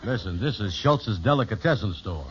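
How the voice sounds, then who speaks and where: An actor playing a gullible, grumbling sidekick in an old radio sitcom, with a slow-witted comic delivery. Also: male voice, on the low side, expressive, lightly acted. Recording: noisy, dull old radio recording.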